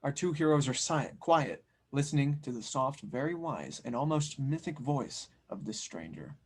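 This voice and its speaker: A man narrates an audio drama script, reading out the stage directions. His voice has a lot of fry and a husky, unpolished grain. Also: medium pitch, lively intonation, normal speed.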